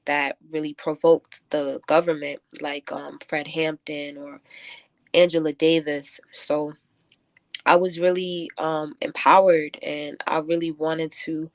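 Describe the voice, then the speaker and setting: A woman with a low voice speaks as a guest poet in a radio interview. She is reflective and halting, feeling her way through answers. The sound is close and dry. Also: little pitch movement, no echo. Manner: thoughtful, halting, many filler likes. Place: close-miked radio show.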